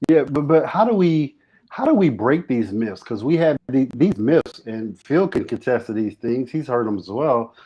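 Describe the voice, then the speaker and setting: Male co-host, mid pitch, relaxed conversational questioning, eager acknowledgements. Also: moderate pace, breathy edge, highs cut off. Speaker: podcast co-host. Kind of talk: casual interview questions.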